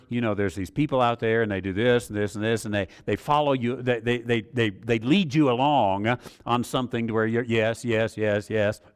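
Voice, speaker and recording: A man teaching a Bible study in a rambling, conversational way, full of fillers and small chuckles. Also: medium pitch. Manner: rambling, many uh fillers, chuckles at own asides.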